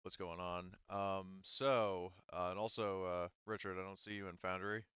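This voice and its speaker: A man running a tabletop game as game master, explaining casually in a halting, stop-and-start way. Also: sits low, fairly even intonation, fairly bright tone, clean tone, no echo, highs cut off.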